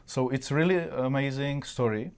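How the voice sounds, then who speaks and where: A man who is a non-native English speaker, hosting an interview in a halting way. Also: mid-range voice, clear, resonant tone. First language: not English. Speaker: interviewer and host. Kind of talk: interview with greetings to an audience.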